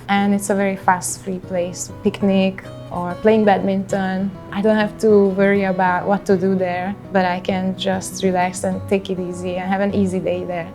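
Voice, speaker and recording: A woman in her thirties speaks with a Hungarian accent at a medium pitch. She sounds reflective and takes her time, as if in a portrait interview. Light music plays behind her voice. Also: darkish tone. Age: 30s. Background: background music.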